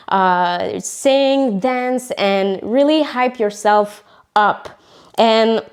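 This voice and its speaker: A woman's voice: a little high, darkish tone. A young woman with a French accent, native French speaker, hosting a casual motivational podcast in English, earnest and full of fillers and restarts. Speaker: podcast host.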